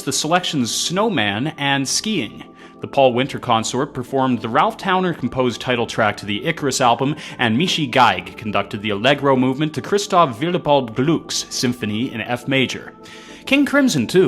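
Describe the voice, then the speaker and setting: A man's voice: mid-range voice, fairly bright tone. Formal male radio announcer over music. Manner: formal, precise, list-like delivery. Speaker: radio presenter. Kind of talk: scripted radio announcing, closing credits.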